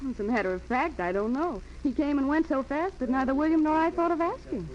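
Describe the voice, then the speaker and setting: High, lively young woman, old radio. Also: quick pace. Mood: amused, matter-of-fact. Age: young adult. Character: spirited, encouraging young fiancée. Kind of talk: 1940s radio acting. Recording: dull, noisy 1940s radio recording.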